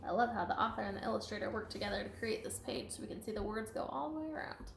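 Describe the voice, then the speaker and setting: A woman reading a children's picture book aloud with expressive emphasis and chatty asides to listeners. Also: mid-range voice, racing, vocal fry, slight background noise. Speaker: storybook reader. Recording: echoey room.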